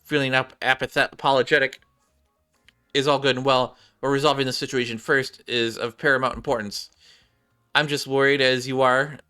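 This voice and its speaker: A man's voice: medium pitch, flat delivery, normal speed, pushed and bright, clean tone, soft background music. A man reading game cutscene dialogue aloud, now and then stumbling over words and rereading them.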